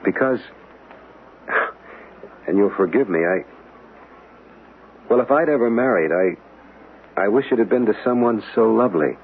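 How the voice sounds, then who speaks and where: Courteous sentimental bachelor, lowish voice, hesitant, gentle, radio mystery drama, tinny recording. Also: male voice, lively intonation, measured pace, pauses often. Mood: tender sincerity. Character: polite, sentimental, curious bachelor. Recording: noisy, tinny old radio broadcast recording.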